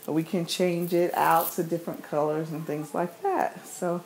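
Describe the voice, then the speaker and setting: A woman's voice: on the low side, flat delivery, normal speed, a little mellow, a little hiss or hum, poor audio. A woman in her thirties walking through her house, cheerfully showing off the decor. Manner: upbeat, gushing over decor. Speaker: vlogger giving a home tour. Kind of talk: casual home tour narration. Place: inside a house, walking room to room.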